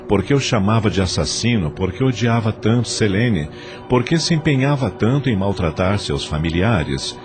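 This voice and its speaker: Um homem com sotaque brasileiro narrando uma novela de áudio a partir de um texto. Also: male voice, deep voice. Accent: Brazilian. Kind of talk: scripted audio drama narration.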